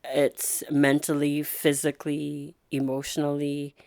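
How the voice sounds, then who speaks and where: A woman nurse talks as an interview guest in a low voice. She speaks slowly and thoughtfully, pausing often with soft fillers. The sound is dry and close. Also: completely flat delivery, creaky, dry recording. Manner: soft-spoken, thoughtful, with frequent pauses and fillers. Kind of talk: reflective interview conversation.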